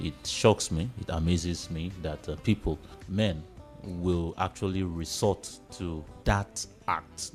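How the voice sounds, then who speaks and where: A man with a Nigerian accent speaking as a panellist in a radio discussion, explaining his views in a reflective, halting way. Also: sits low.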